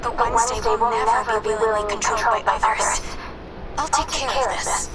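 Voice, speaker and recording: A woman's voice: fairly high pitch, steady tone, normal speed, over a noisy crowd, close to an everyday voice. A woman playing a composed, efficient hacker in a video game, precise and formal, with game ambience behind her. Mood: calm, businesslike. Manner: precise, matter-of-fact, formal. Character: composed, efficient female hacker guiding her teammates remotely.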